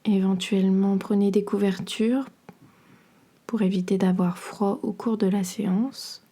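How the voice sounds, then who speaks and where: A woman guiding a relaxation meditation in a soothing, measured, instructional way, her soft voice turning breathy at the ends of phrases. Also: medium pitch, steady tone. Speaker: podcast host and yoga nidra guide.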